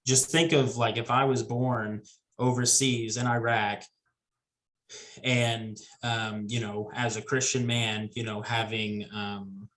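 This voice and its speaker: A man's voice: medium pitch, flat delivery, takes it slowly, fairly bright tone. A man in his twenties talking casually as a podcast guest, easygoing and rambling with restarts. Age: 20s. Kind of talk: casual conversation.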